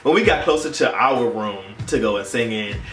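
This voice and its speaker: A man's voice: medium pitch, expressive, normal speed, a bit bright, a little hiss or hum. A young man tells a story in a casual, playful, dramatic vlog style with slangy phrasing. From United States. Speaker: YouTube vlogger. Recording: roomy echo, distant mic, music behind.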